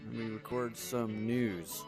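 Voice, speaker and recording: A man's voice: mid-range voice, fairly even intonation, takes it slowly, music in the background. A man hosting a gaming video with casual gameplay commentary.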